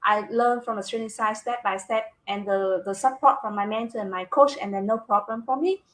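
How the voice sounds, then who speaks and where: A woman from Vietnam with a Vietnamese accent, co-hosting a casual talk show in English, animated and laughing often. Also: mid-range voice, a little fast. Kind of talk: casual chat.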